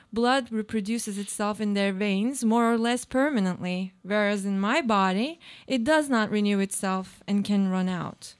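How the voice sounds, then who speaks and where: A woman's voice: medium pitch, clear, resonant tone, close to an everyday voice. A woman playing an aloof, self-absorbed woman in a live radio play, speaking formal, literary monologues. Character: aloof, self-absorbed woman clinging to her comfortable life.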